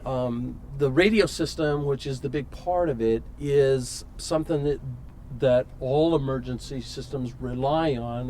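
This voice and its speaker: A man's voice: mid-range voice, a little mellow. Male interview host, medium register, conversational delivery, light ambient noise.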